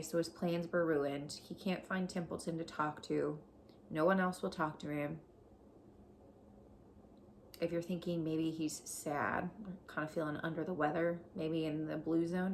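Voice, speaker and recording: A woman reads a children's story aloud like a teacher, pausing to explain things to young listeners. Her voice sits on the low side and is noticeably creaky. The recording carries faint background noise. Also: a little mellow. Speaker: teacher reading a book aloud to pupils.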